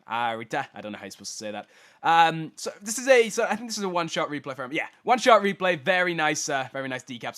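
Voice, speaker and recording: Stuffy-nosed young man, lively commentary. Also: on the high side. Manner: rambling, self-deprecating, stumbles over names. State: blocked nose from a cold. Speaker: host commentating game replays. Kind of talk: casual replay commentary.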